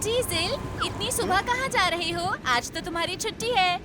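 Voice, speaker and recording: A woman voicing a friendly, concerned young male train in a children's cartoon, gentle, earnest and childlike. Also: very high voice, moderate pace, very noisy. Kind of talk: animated children's cartoon dub. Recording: loud music behind the voice.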